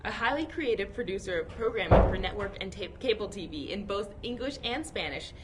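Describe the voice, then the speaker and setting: A woman's voice: medium pitch, expressive, a little fast, very noisy. A woman co-hosting an interview show, reading a scripted introduction with enthusiasm before asking her questions. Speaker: co-host. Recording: voice over loud music.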